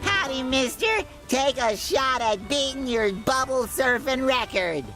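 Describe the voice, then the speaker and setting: Cheerful boyish cartoon sea creature; high, animated, earnest. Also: male voice, unhurried, full character voice. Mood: eager. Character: eager, naive, cheerful male cartoon sea sponge. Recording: game music under the voice.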